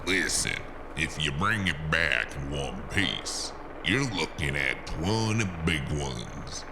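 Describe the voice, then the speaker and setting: An actor playing a calm, businesslike underworld henchman in a noir audio drama, measured and faintly menacing. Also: rumbling low, vocal fry, background noise, full character voice. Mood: persuasive.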